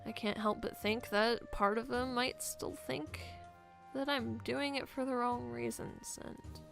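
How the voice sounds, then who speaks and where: A woman playing a troubled young camp girl in an improvised game roleplay, speaking haltingly and trailing off as she confides. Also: on the high side, steady tone, vocal fry, a bit bright, soft background music. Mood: worried, unsure. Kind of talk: improvised Minecraft roleplay dialogue.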